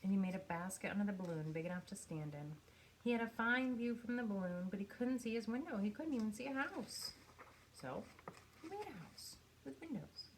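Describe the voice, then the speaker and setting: A woman reading a children's picture book aloud in an expressive storytelling manner, with pauses for effect. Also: medium pitch, a little hiss or hum, poor audio. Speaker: storybook reader.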